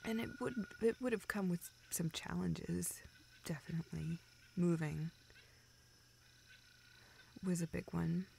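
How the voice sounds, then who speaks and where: A young woman playing a self-deprecating, vulnerable friend in an intimate audio roleplay, speaking in halting, self-interrupting dialogue. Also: fairly low pitch, vocal fry, a little mellow, slight background noise. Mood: subdued, dejected. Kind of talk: intimate, naturalistic one-sided dialogue.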